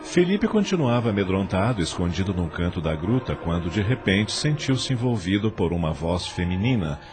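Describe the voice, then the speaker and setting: Casting: male Brazilian storyteller, low-pitched, scripted audio-drama narration. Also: big pitch swings, normal speed, background noise.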